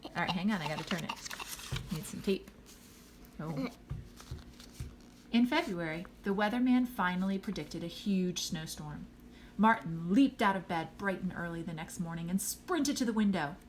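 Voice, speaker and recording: Lady reading a kids book out loud, expressive, slightly low voice, little bit of background noise. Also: normal speed, pauses often. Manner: expressive, child-directed. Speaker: storybook reader. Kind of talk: expressive children's story read-aloud.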